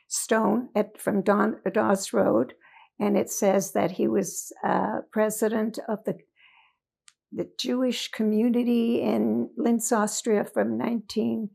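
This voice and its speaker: A woman in her eighties, originally from Hungary, giving a recorded oral history interview in Toronto, speaking haltingly with many pauses and fillers. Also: fairly high pitch, vocal fry, a little mellow. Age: 80s. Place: indoors in Toronto.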